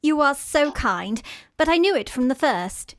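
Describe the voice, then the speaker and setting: Farce role: woman playing a sweet British girl in love, effusive and imploring, high-pitched with swooping delivery. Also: very animated, measured pace, no echo, clean studio sound, exaggerated voice. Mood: pleading. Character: sweet, gushing young Victorian girl in love. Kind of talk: Victorian stage farce, radio play acting.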